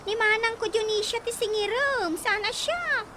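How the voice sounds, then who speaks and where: A woman's voice: very high-pitched, normal speed. A woman in an Ilocano radio comedy drama, chatty and quizzical. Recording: noisy background hiss.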